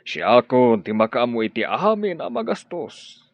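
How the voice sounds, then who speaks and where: A man's voice: medium pitch, sing-song, normal speed, no echo. A man playing a firm, cautious middle-aged father in a radio drama. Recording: dry muffled audio with faint music.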